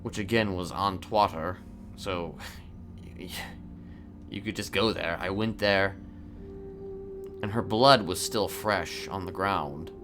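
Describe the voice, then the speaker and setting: A man playing a calmly boastful, evasive serial killer in improvised crime roleplay, rambling and hesitant as he confides. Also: deep voice, over music. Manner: halting, rambling, hesitant delivery.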